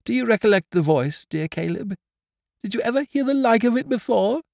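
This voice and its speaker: A man voicing an excitable, warm-hearted young wife in a Victorian storybook, breathless and exclaiming. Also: fairly low pitch, measured pace, gravelly, no room sound, clean studio sound, heavily acted. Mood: eager joy.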